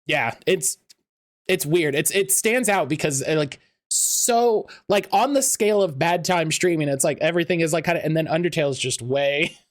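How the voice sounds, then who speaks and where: A man hosting a casual chat interview, talking in a halting, rambling way and often interrupting himself. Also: on the high side, no room sound, clean studio sound. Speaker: podcast host.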